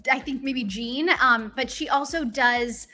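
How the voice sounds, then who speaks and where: A bubbly young woman chats as a guest on a remote call, her voice bright and forward and pitched a little high. She talks casually with plenty of ums, and faint music sits underneath. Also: a little slow. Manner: chatty, many ums, bubbly. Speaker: voice actor guest. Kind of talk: casual interview chat.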